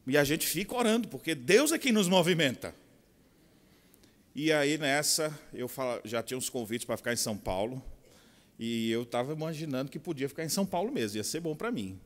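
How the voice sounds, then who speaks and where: A middle-aged Brazilian pastor with a Bahian accent preaches at a conference. His voice sits a touch high for a man, and he moves between soft asides and loud, drawn-out emphasis. The sound rings with the long reverb of a large hall. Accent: Bahian Portuguese. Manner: expressive, swinging from quiet asides to loud slow emphasis. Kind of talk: sermon.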